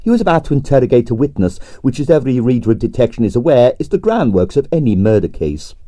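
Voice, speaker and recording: A man's voice: medium pitch, big pitch swings, fast, mellow, no room sound. A man reading a comic mystery novel aloud in scripted narration, with a dry, wry storytelling manner. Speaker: audiobook reader.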